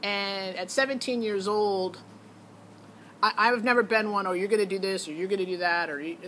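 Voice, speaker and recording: A woman's voice: medium pitch, moderate pace, a bit bright. A middle-aged woman telling a personal story in a casual, candid, opinionated way. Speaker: parent recounting a child's audition. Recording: noticeable background noise.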